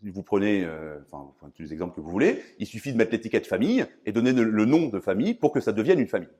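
A man from France lecturing on philosophy in a didactic, emphatic way, often pausing to search for words. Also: on the low side, animated, darkish tone. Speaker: priest and philosophy professor giving a lecture. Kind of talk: public philosophy lecture, semi-improvised.

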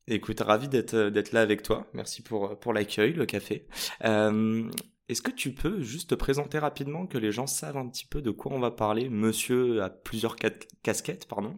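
A man's voice: on the low side, normal speed. A man hosting a podcast, opening with a scripted welcome and then asking casual, friendly questions. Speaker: podcast host.

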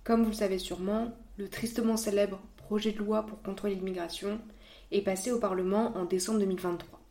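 A woman's voice: mid-range voice, fairly even intonation, a little fast, warm tone. A woman hosting a podcast, explaining things in a scripted, structured narration. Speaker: podcast host.

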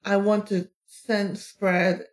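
A woman from South Korea with a Korean accent, a native Korean speaker answering interview questions casually and haltingly, searching for words. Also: mid-range voice, flat delivery. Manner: halting, searching for words in second-language English. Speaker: interview guest, plus-size model.

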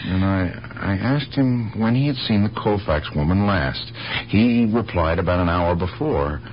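A man's voice: low voice, lively intonation, a little slow. A man playing an earnest, persistent police detective in a radio drama, probing and hesitant as he thinks aloud. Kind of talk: naturalistic radio drama acting. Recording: dull, hissy 1970s radio broadcast.

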